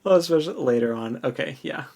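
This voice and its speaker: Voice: mid-range voice, expressive, fast, vocal fry, darkish tone. A podcast co-host discussing a book casually, chiming in conversationally.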